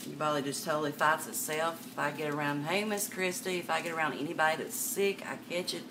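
Older woman host, low voice, chatty live-sale patter, webcam a bit far off with room noise. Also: steady tone, brisk. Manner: chatty, calls viewers darling and miss. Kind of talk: live sales stream, chatting to viewers. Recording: distant mic with background noise.

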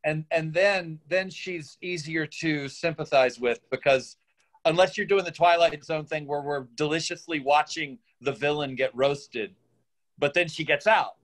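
A man giving considered feedback on a video call, deliberate and a little hesitant. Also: fairly high pitch, fairly bright tone, rough recording. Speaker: participant giving script feedback. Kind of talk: considered critique.

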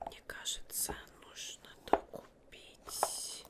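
A woman's voice: slow, lightly acted. A young woman playing a fussy, anxious but polite witch seamstress in a whispered ASMR roleplay, muttering and repeating herself close to the microphone. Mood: flustered, fretful. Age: young adult. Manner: muttering, repeating words, fretful. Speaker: ASMR performer. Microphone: close sensitive microphone.

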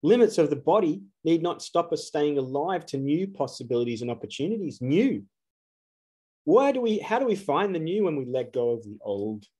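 A man's voice: fairly high pitch, sing-song, normal speed, dark tone, slightly rough. A man, giving an earnest solo spiritual talk to camera, asking rhetorical questions with emphasis. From Australia. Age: 40s. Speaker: astrologer.

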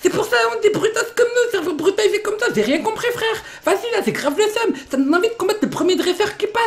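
A man's voice: very high voice, animated, fast talker, voice pushed up. A man voicing a brash young street-gang grunt who talks in swaggering slang and laughs through his taunts. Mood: taunting.

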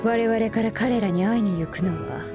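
An actress playing a stern, dignified woman scholar in a game, with archaic, stately speech and a husky voice. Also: medium pitch, moderate pace, close to an everyday voice. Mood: grave. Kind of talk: Japanese game dub acting. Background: game music.

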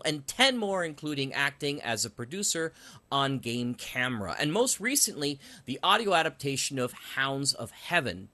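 A man's voice: mid-range voice, sharp tone. A man hosting an interview podcast, introducing guests and putting questions to them. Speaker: interview host.

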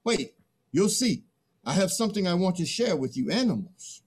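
A man's voice: high-pitched, big pitch swings, moderate pace, darkish tone, slightly rough, heavily acted. A middle-aged man playing a boastful, stubborn early human in a dramatic play reading. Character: first Man, boastful, greedy, stubborn, awkward.

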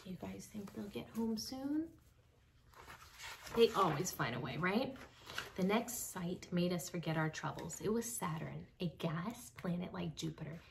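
A young woman reading a children's book aloud expressively, with dramatic pauses, addressing young listeners. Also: lowish voice, gravelly. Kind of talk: expressive read-aloud storytelling. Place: small home room with bookshelves. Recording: slightly distant mic, faint background noise.

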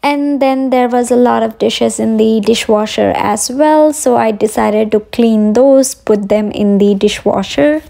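A woman's voice: on the high side, measured pace, creaky, few pauses, mellow. A woman in her thirties with an Indian English accent, chatting directly to viewers while narrating her day at home. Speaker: vlogger. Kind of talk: casual daily vlog narration. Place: home kitchen and outdoors.

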